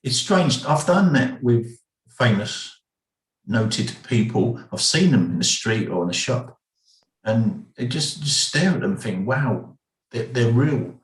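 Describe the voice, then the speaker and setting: An English man chatting easily on a video call, agreeable and quick to chuckle. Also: medium pitch, expressive, reverberant, distant mic, poor audio. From England. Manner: easygoing, agreeable, chuckles often. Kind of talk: casual chat on a video call.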